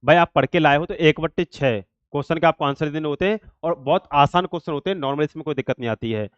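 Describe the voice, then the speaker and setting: A man's voice: fairly high pitch, fast, clear, resonant tone, no echo, dull audio. A man giving students an informal explanatory exam update, repeating himself and leaning on verbal tics. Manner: repetitive, filler-heavy explaining with habitual verbal tics. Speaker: exam update channel host and coach.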